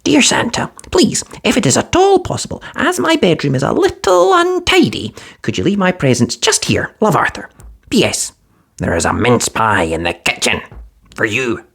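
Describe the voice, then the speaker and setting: A man voicing an anxious little penguin boy in a children's storybook, pleading and breathy, often half-whispered. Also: huge pitch swings, normal speed, a bit bright, full character voice. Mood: worried. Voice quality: high, breathy and worried.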